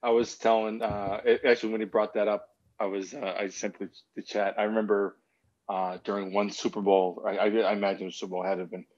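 A man's voice: fairly low pitch, flat delivery, brisk, darkish tone. A man in his thirties chatting casually in a rambling, sweary way over a video call from home. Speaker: podcast co-host. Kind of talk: casual chat. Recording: slightly dull lo-fi call audio.

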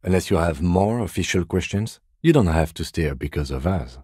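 An actor playing a composed, courteous police lieutenant in a video game, with measured, dryly sardonic, deadpan delivery and a low, husky voice. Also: male voice, no room sound, clean studio sound. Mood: calm, formal. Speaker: game character, police detective. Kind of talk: video game dialogue voice lines.

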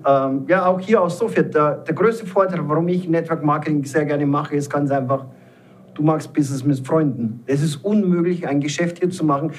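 Excited guy in his 50s hyping a business, kinda high voice, keeps repeating stuff. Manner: emphatic, repeats words for stress. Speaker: network marketer. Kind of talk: enthusiastic promotional pitch. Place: indoor event room, panel seated around a table.

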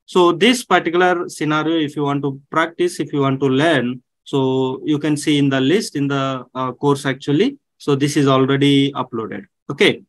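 A man in his thirties walking viewers through a software task on screen, step by step, with many "okay" and "so" fillers. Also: a little high, steady tone, normal speed, hardly any pauses, dry recording. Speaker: online tech instructor. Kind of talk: screen-share tutorial walkthrough. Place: indoors at a desk.

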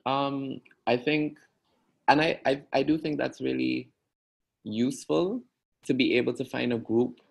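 A young man with an Antiguan accent answering interview questions in a measured, reflective way. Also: mid-range voice, gravelly, breathy edge. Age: young adult. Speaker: podcast guest. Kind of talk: thoughtful interview talk.

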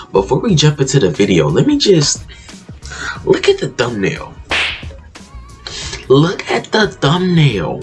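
A man's voice: fairly high pitch, wildly swooping pitch, takes it slowly, pauses often, soft background music. A young man hosting a reaction video from his bedroom, laid-back and chuckling, with a groggy just-woke-up morning voice. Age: young adult. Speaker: reaction channel host. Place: bedroom, indoors.

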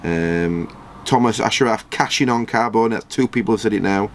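A man, casually commenting on a football management game and reading out viewer comments in a small storeroom. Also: slight background noise. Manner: chatty, rambling, quick jumps in pitch when surprised. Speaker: gaming channel host.

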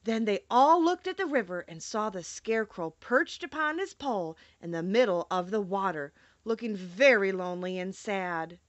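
A woman narrates an audiobook storybook with a very lively, melodic delivery. Her pitch is average for a woman, and the recording is dry and close. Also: moderate pace, hardly any pauses, no echo, muffled recording. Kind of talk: expressive scripted storybook narration.

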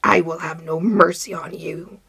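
A woman's voice: low-pitched, fairly even intonation, unhurried, creaky, fairly bright tone, slightly rough, full character voice. A woman voicing an enormous enraged male genie in a storybook, speaking in menacing, emphatic declarations. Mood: merciless.

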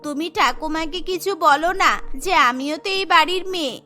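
A woman's voice: high-pitched, fairly quick, pushed and bright, soft background music, pitched below the performer's own voice. A woman voicing an innocent little girl in a cartoon, with a pleading, childlike manner. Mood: hurt pleading. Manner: plaintive, pleading.

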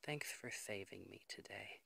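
A man playing a worried, devoted young boyfriend in an intimate ASMR roleplay, speaking in an earnest, emotional, halting way. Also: fairly low pitch, creaky, sharp tone, husky, no echo, heavily acted. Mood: tender, worried.

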